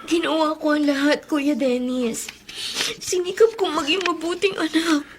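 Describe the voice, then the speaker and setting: A remorseful young Filipina played by a woman in an audio melodrama. Her very high voice is meek and pleading, always respectful and apologetic. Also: fairly even intonation, measured pace, a little hiss or hum. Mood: dejected. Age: young adult. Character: remorseful young pregnant daughter, meek and tearful.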